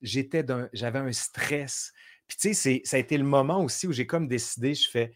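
A man's voice: medium pitch, moderate pace. A man with a Quebec French accent, a guest telling stories in casual conversation and acting out his own thoughts. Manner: animated storytelling, acts out quoted inner voices.

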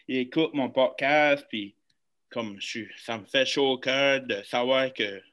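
A man's voice: mid-range voice, measured pace, fairly bright tone, muffled recording. A man hosting a casual podcast, friendly and hesitant, with many fillers. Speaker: podcast host.

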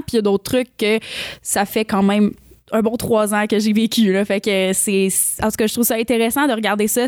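A young woman in her twenties from Quebec, speaking with a Quebec French accent as a singer-songwriter guest in a casual interview, animated and laughing often. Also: on the high side, no room sound, clean studio sound. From Quebec, Canada. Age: 20s. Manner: animated, laughs often, many fillers and restarts.

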